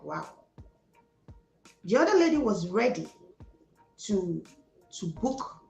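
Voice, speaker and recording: Igbo-accented woman, gravelly and emphatic. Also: on the low side, darkish tone, roomy sound, low-quality recording. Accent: Nigerian Igbo. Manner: emphatic, repeats words for stress, slips into Pidgin. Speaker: psychologist and guest speaker. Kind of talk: motivational conversation.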